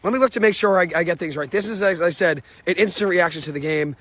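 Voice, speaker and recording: A young man hosting a sports podcast, rattling off stats in an excited, unscripted recap, his voice a little hoarse. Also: high-pitched, dry recording. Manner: excited, rapid-fire stat recitation. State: hoarse, says he lost his voice. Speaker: podcast host.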